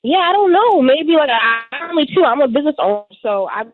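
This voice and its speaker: A woman's voice: high-pitched, animated, fast, soft background music. A woman in her twenties from the United States calling in to a talk show by phone, talking casually and hesitantly as she gropes for words. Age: 20s. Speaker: phone-in caller. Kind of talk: casual call-in conversation. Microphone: phone call. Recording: tinny narrow-band phone line.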